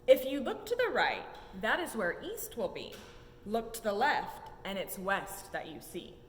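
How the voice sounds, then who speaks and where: A young adult woman reads a rhyming children's picture book aloud in an expressive, sing-song way with emphatic swoops. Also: a little slow. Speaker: story time reader at a museum. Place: indoor museum exhibit room. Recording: echoey room with low rumbling noise.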